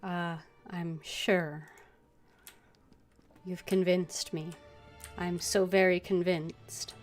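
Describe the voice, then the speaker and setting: Woman gamer streaming, wry and dry; slow talker, faint music behind. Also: fairly low pitch, level tone. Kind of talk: casual gameplay commentary.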